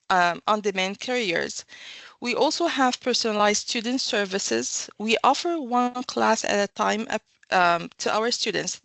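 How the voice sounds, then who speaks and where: Woman, gravelly and dry. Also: medium pitch, a bit bright, no echo. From Egypt. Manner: measured, explanatory, with fillers and self-corrections. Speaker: university academic guest. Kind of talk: podcast interview answers.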